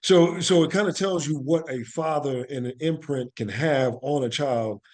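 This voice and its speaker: A man's voice: a little high, moderate pace, a little mellow. A man speaking as a guest in a conversational podcast interview, telling things in an earnest, reflective way and repeating phrases for emphasis. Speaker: interview guest, actor and playwright.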